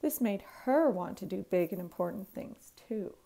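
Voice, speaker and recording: A woman, a teacher reading a children's storybook aloud in an expressive, clearly phrased way. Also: mid-range voice, moderate pace. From Canada.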